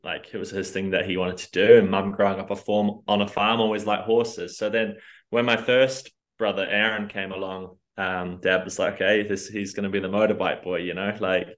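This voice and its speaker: Deep-voiced man with a Kiwi accent. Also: little pitch movement, normal speed. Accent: New Zealand, with northern English roots. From north of England. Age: 20s. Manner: rambling, lots of fillers like "like" and "kind of". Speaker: triathlete guest. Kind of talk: casual interview storytelling.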